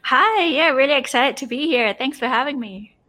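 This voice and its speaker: A young woman from Singapore, a travel blogger guest, talking in a relaxed way with many "um"s and "like"s. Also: high voice, normal speed. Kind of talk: casual interview chat.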